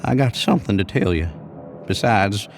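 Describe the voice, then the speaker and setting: A man's voice: lowish voice, expressive, normal speed, soft background music, voice pushed up. A man voicing a craggy, sly cowboy outlaw who tells campfire tales in a folksy frontier drawl for a Western audio story. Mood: sly, musing.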